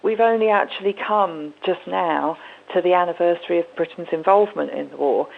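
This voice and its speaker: A woman's voice: on the low side, a little fast, vocal fry. A woman giving a spoken comment as a listener phoning in. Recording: narrow-band phone line.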